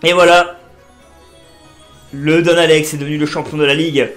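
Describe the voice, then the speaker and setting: A man with a blocked nose doing casual, excitable let's play commentary as a gaming creator. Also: moderate pace, faint music behind. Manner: excitable, pitch jumping up on exclamations.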